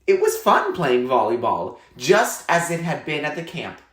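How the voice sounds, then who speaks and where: A man narrating a children's novel aloud in an expressive, measured storytelling style with clear phrasing. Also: on the high side, reverberant.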